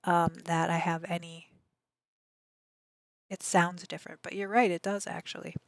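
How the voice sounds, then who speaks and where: A woman streamer with a slightly raspy voice, chatting casually and answering her viewers. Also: lowish voice, flat delivery, moderate pace, dry recording. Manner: rambling, chatty, answers chat messages aloud. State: allergies. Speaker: game streamer.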